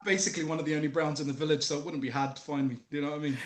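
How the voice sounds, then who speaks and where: Guest: New Zealand man in his thirties, slightly high voice, roomy call audio. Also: racing. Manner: relaxed storytelling, joking asides. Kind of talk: casual interview. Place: small room at home, video call. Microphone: headset earphones, webcam.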